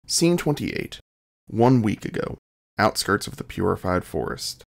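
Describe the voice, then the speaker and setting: A man's voice: on the low side, sing-song, a little slow, creaky. A man reading scripted narration, announcing the scenes of an audio drama.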